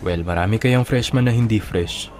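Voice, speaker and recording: A reserved young college leader, played by a man in a radio drama, with a lowish voice. He is modest and casual with a dry teasing streak, heard in a roomy echo over music. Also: moderate pace, darkish tone, close to an everyday voice. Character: modest, shy-to-speak male college student leader, easygoing. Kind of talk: Filipino radio drama acting.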